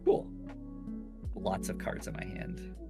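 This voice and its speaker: A man's voice: sits low, level tone, slow, vocal fry, over music. A man from Southern California chatting casually and laughing while playing a card game. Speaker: player. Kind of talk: casual gameplay chat.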